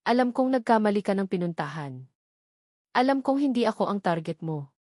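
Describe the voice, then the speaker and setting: A serious, soft-spoken young billionaire as a woman narrator acts him out for an audio romance. He is brooding and wounded, confiding with earnest weight. The sound is dry and close. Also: mid-range voice, very animated, brisk, no room sound. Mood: serious, probing.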